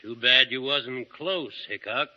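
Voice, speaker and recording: An actor playing a sly, cold-blooded frontier villain in a 1930s radio drama, taunting and menacing. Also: male voice, mid-range voice, slow, sharp tone. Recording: muffled 1930s radio broadcast.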